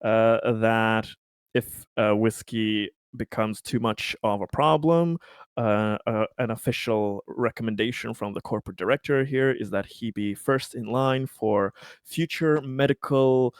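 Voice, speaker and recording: A man's voice: mid-range voice, unhurried, clean tone, no echo, clean studio sound. A man playing a tabletop game, casually and hesitantly explaining what his character does, in a somewhat apologetic manner. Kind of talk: casual chat about in-game actions.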